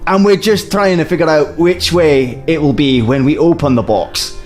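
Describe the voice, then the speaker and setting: A man voicing a male scholar in a deliberately bad Scottish accent, explaining slowly. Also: on the high side, a little mellow, soft background music, close to an everyday voice. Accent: bad put-on Scottish.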